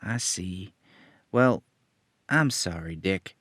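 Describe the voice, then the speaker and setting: A man putting on a polite, sheepish young boy from an old radio drama, speaking slowly in a low-pitched, halting way. The recording is close and dry. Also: expressive, a bit bright, no echo, full character voice. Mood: contrite. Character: earnest young boy sidekick.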